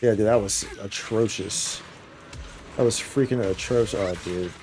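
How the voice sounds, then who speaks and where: Male game streamer, mellow and relaxed tone, slangy and repetitive, faint music behind. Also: fairly low pitch, unhurried. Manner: slangy, repeats his phrases. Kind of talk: casual live gameplay commentary.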